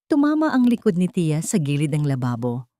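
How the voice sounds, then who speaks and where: A woman with a Filipino accent narrating a dramatic audiobook with expressive storytelling. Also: deep voice, fairly quick, dry recording, studio quality.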